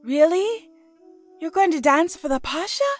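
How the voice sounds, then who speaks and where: Storybook young girl, high-voiced, eager and hesitant, performed by an adult woman. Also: animated, a little slow, faint music behind. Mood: excited. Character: eager, timid young girl, the little sister.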